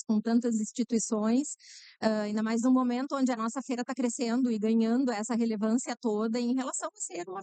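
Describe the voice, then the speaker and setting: Smooth-voiced middle-aged woman explaining earnestly. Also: on the high side, mellow, no echo. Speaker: guest, nurse and company co-founder. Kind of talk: interview answers.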